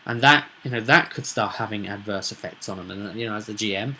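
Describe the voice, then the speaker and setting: Guy, game master doing a chatty explainer, bright forward voice, rambles a bit and checks in with little questions. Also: lowish voice, brisk, slight background noise, rough recording. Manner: discursive, conversational, with rhetorical tag questions. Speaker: game master, vidcast host. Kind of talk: informal explanatory monologue on tabletop roleplay.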